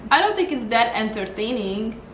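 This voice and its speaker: A young woman hosting a casual makeup vlog, chatty and animated in speech, full of "like" and "girl.". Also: a little high, moderate pace. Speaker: vlog host. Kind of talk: casual chat while doing makeup. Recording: echoey room, faint noise.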